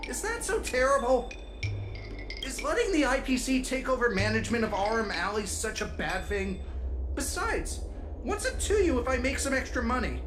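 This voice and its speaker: A woman acts out a male dock worker on the take in a video game. The voice sits high and moves animatedly, evasive and defensive, with loud music playing behind it. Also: very animated, moderate pace. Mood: defiant, bitter. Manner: hesitant, defensive, swinging into sharp retorts. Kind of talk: dramatic video game dialogue.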